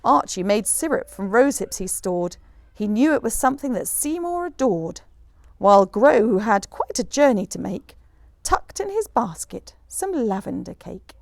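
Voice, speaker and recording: A woman reading a rhyming children's picture book aloud in an expressive, rhythmic storyteller's manner. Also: mid-range voice, moderate pace, gravelly, no echo. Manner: animated, rhythmic rhyming delivery.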